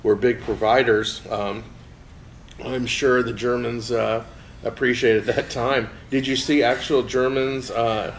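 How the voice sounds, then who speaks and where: A man hosting a casual interview, friendly, with many pauses and fillers as he asks his questions. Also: medium pitch, level tone, a little hiss or hum.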